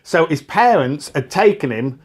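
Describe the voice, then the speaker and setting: A man's voice: a little high, animated, normal speed, slightly rough. A man co-hosting a podcast, chatting casually and telling anecdotes with frequent laughter. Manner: chatty storyteller, laughs often. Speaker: podcast co-host. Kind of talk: casual banter.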